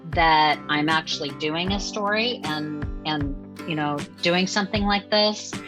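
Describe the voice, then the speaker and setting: A woman's voice: low-pitched, a little slow, music in the background. A woman speaking as an interview guest in a reflective, hesitant, personal way. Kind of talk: casual personal chat.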